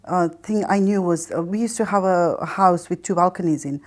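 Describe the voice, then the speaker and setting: A middle-aged woman from Kenya and England giving a reflective personal testimony in a hesitant, halting manner with pauses mid-phrase. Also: fairly low pitch, a little mellow. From Kenya, raised in Mombasa and then England. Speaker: interview guest telling her life story.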